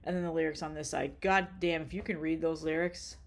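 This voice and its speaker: A woman's voice: low-pitched, fairly even intonation, a little fast, slight background noise. A woman with a stuffy, congested voice, chatting casually and bluntly about her tape collection, rambling with lots of ums and swearing. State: congested with a cold. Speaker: vlogger showing her cassette collection.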